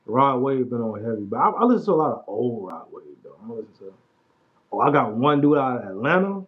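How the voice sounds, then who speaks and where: A man's voice: mid-range voice, sing-song, a little fast. Casting: man in his late twenties, laid-back livestream host, slangy and mumbly, muffled stream audio. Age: 20s. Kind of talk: casual livestream chat about music.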